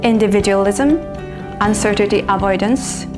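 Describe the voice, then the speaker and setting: A woman from Japan in her thirties, an English teacher, narrating a class presentation in a measured, explanatory way. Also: mid-range voice, music in the background.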